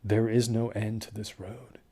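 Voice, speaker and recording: An actor playing a traumatized, exhausted man recounting a doomed desert trek in a halting, hushed horror audio drama narration. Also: on the low side, level tone, gravelly. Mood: dazed, hushed. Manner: halting, hushed, haunted delivery.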